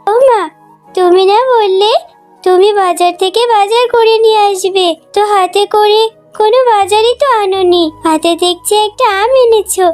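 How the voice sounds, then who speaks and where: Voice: very high-pitched, fairly even intonation, normal speed, a little mellow. An actor voicing a pleading little jackal girl in a children's cartoon, with a thin, childlike voice. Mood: eager, hungry. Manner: plaintive, pleading. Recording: music under the voice.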